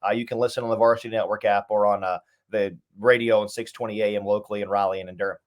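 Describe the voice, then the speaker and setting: A man's voice: on the low side, fairly even intonation, fast. A man speaking as a sports announcer and interview guest, talking conversationally and at length, repeating and listing points for emphasis.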